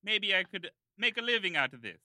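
A man acts out a motherly woman who cooks for an island in an audio play. The voice is raised high and very bright, swinging widely as it gives thanks. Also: expressive, brisk, no room sound, clean studio sound, exaggerated voice. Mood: grateful.

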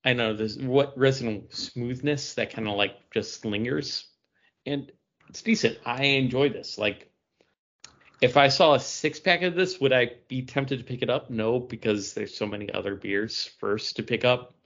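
Man, average pitch, casual podcast reviewer, musing hesitant phrasing, slightly muffled lo-fi recording. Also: unhurried, a bit bright. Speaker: podcast co-host. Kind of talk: casual chat, tasting review. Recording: dull, lo-fi audio.

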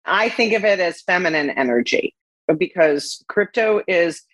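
A woman's voice: fairly low pitch, expressive, unhurried, a bit bright, dry recording, poor audio. A woman hosting an interview podcast, gushing and upbeat as she welcomes and questions her guest. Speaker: podcast host. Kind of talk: casual interview chat.

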